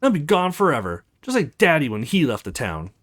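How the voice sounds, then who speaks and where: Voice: medium pitch, wildly swooping pitch, fairly quick. A woman voicing a scared, innocent and curious little girl in a visual novel game, with music behind her. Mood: frightened.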